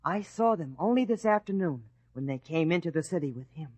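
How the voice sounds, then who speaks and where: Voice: mid-range voice, lively intonation, fairly quick, a little mellow, dry recording, natural voice. A performer playing a curious, respectful young boy in a radio drama, eager and questioning. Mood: earnest, admiring.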